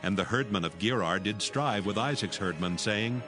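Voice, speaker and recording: Low-voiced male scripture narrator over music. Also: normal speed. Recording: music behind.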